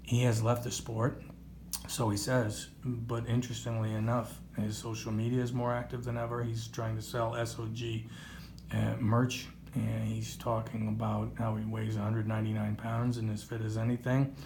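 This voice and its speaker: Man, sports talk host, gravelly voice, conversational, halting long-winded phrasing, faint background noise. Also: fairly low pitch, monotone, echoey room. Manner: wordy, halting, rhetorical questions. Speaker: boxing talk show host.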